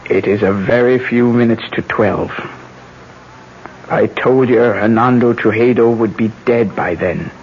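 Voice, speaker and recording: A performer playing a stern, vengeful elderly aunt in a 1970s radio drama, making solemn, ominous pronouncements in a low voice. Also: measured pace, a little mellow, natural voice. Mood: grim certainty. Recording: dull, noisy 1970s radio broadcast.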